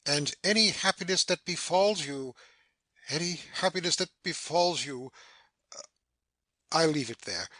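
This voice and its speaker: A man playing an excitable, fond, fussy older uncle in a stage comedy audio play, stammering and repeating himself effusively. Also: fairly high pitch, unhurried, pauses often, dry recording, heavily acted. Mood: fond, flustered.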